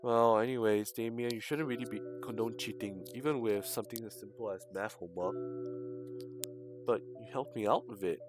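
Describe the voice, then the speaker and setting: A man's voice: fairly low pitch, normal speed, over music. A man live-streaming a visual novel, reading it aloud with slangy commentary and frequent stumbles over the text. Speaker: game streamer.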